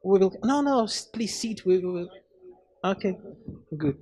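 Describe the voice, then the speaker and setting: Male panel moderator, high voice, slow and halting, handheld mic on a conference stage. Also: warm tone, dry recording, studio quality. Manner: hesitant, halting, many restarts. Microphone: handheld microphone.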